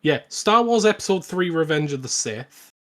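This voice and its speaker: A man's voice: a little high, lively intonation, moderate pace. A man co-hosting a podcast, talking casually about films. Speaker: podcast co-host. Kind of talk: casual film discussion.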